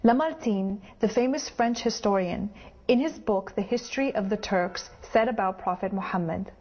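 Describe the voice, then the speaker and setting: Female documentary narrator, mid pitch, scripted read, dull lo-fi recording. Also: normal speed, slightly rough. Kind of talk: scripted narration introducing quotations. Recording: dull lo-fi audio. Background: faint music.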